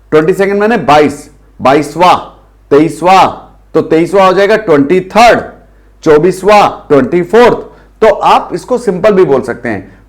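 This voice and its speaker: A man with an Indian accent teaching spoken English, saying English phrases slowly and urging viewers to repeat them. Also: a little high. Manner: slow drilled English phrases, coaxing the viewers.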